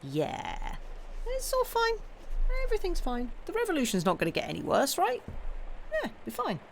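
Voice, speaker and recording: A woman's voice: very high voice, huge pitch swings, normal speed. A woman reading a classic novel aloud on a live stream, with casual asides to listeners. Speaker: reader and streamer. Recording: clearly noisy live stream recording.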